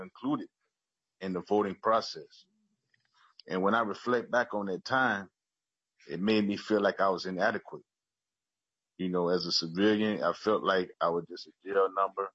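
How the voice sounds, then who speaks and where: A middle-aged man from Chicago with an American accent gives earnest personal testimony in a halting way, repeating words as he goes. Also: lowish voice, fairly bright tone, husky. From Chicago, United States. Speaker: public witness at a legislative hearing. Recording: muffled, echoey video call.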